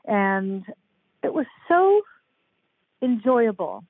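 A woman guest on a podcast interview, chatty and animated as she tells stories, heard over a remote call. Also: a little high, extremely slow, slightly rough, no room sound. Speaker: guest author. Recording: tinny phone-like line.

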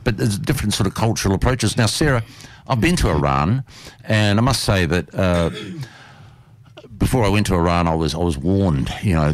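NZ-accented male host, 60s, very low pitch, rambling hesitant delivery. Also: no echo. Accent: New Zealand. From New Zealand. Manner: rambling, hesitant questions full of fillers. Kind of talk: panel discussion.

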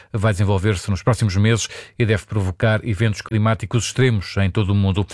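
Brisk low male radio newsreader. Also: a little mellow, no room sound, clean studio sound. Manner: brisk, formal, occasional slips. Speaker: radio news anchor. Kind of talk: read news bulletin. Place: radio studio. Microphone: studio mic.

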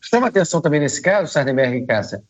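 Male radio commentator, hoarse with a cold, hesitant and measured, slightly higher voice, dull phone-in sound. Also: sing-song. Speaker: political commentator.

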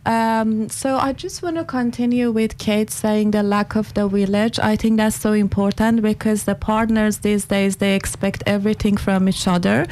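Brief: Persian-accented woman relationship coach, mid-pitched, careful and halting. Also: fairly even intonation, darkish tone, poor audio. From Iran. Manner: careful, halting with many "uh" fillers. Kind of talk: casual panel chat.

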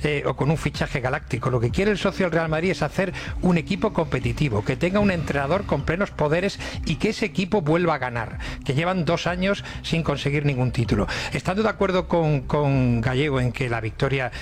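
Higher-voiced Castilian man debating emphatically. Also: lively intonation, a little fast, hardly any pauses. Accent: Castilian Spanish from Spain. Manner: emphatic, repeats words for stress. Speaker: sports radio pundit. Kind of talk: unscripted football debate.

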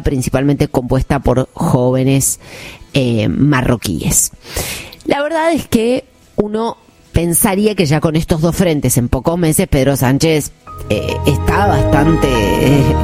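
A woman, hosting a news show and explaining events in a measured way with hesitant fillers. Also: deep voice, mellow. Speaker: news host. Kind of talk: news commentary and interview.

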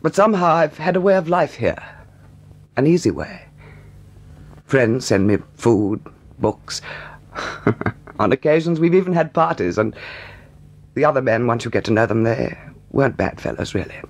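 A man playing a vain English gentleman dandy in a period radio drama, with a haughty, mannered and hesitant delivery. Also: a little high, sing-song, normal speed, long pauses. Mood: wistful, languid. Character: vain, leisurely English gentleman dandy, indignant yet wistful.

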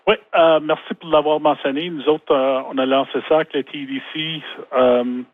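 Canadian business guy on the phone, kind of halting, restarts a lot, tinny phone sound. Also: a little high, steady tone, no echo. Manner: halting, many restarts and fillers. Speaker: interview guest, business owner. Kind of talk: phone interview. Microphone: telephone.

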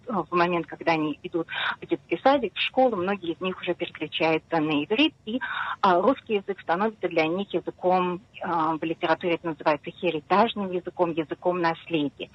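Hesitant woman scientist on dull radio. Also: lowish voice. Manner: patient explaining, many hesitations. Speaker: research scientist guest. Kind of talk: radio interview explanation. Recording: dull dry radio studio sound.

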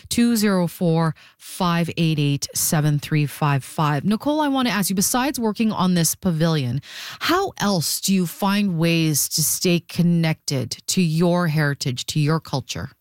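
Female call-in radio host, slightly low register, dry studio sound, presenting and interviewing live. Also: no room sound. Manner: reads phone numbers slowly, digit by digit.

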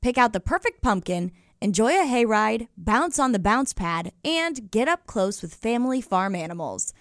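Bubbly higher-voiced woman reading a farm ad. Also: big pitch swings, normal speed, no room sound, studio quality. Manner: bubbly, inviting. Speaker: commercial voice-over. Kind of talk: upbeat scripted ad read.

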